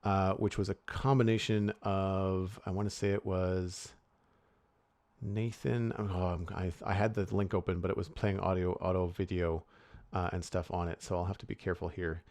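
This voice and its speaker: A man's voice: sits low, little pitch movement, normal speed, darkish tone, dry recording. A man co-hosting a podcast, chatting casually and rambling with many restarts and fillers. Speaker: podcast co-host.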